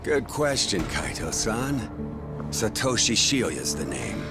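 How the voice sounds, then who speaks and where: A man's voice: on the low side, sing-song, takes it slowly, creaky, over loud music, full character voice. A man playing a smug, mocking middle-aged yakuza captain in a video game, drawling his theatrical threats. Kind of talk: dramatic video game cutscene acting.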